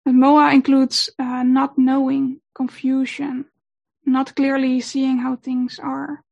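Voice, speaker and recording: A woman whose first language is Dutch gives a gentle, unhurried talk on meditation, pausing often with fillers. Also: high voice, monotone, creaky, lo-fi sound. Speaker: meditation teacher.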